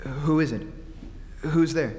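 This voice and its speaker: A man's voice: fairly high pitch, slow, a little mellow. A man playing a desperate, haunted fugitive in old-time radio horror, melodramatic and stammering, recorded on stage in a hollow, hissy hall. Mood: agitated, pleading.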